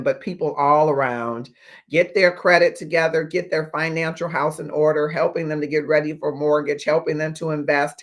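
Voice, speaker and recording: A man in his fifties hosting a motivational livestream as a life coach, speaking in deliberate, emphatic phrases with pauses. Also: on the high side. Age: 50s. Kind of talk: motivational livestream talk to viewers.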